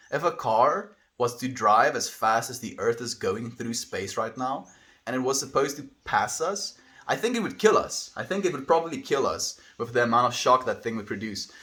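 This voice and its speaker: Bright-voiced South African man musing to his stream. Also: mid-range voice. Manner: rambling, musing, calls listeners dude and friend. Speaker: live streamer. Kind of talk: casual livestream chat reading viewer comments.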